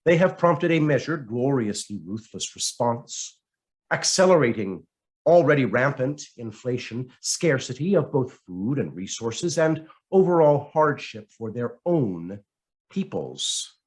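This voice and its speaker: A man reading a scripted commentary aloud in a dramatic, emphatic way with deliberate phrasing. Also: medium pitch, sing-song, darkish tone. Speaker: reader of written commentary.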